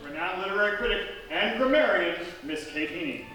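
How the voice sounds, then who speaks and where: A man's voice: sits high, expressive, fairly quick. A man hosting a live radio theatre show with showy, scripted comic announcing. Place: small theatre stage before an audience. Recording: echoey live theatre, distant, faint noise.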